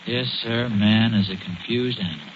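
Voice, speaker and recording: A man's voice: fairly low pitch, moderate pace, close to an everyday voice. An American man playing an earnest, mildly pompous suburban father in a 1940s radio sitcom, lecturing a little hesitantly. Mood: earnest musing. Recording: muffled 1940s radio recording, faint noise.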